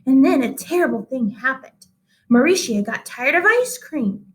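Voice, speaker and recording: A woman reading a children's story aloud expressively, like a teacher, with deliberate pauses and emphasis. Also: high-pitched, big pitch swings, rough recording.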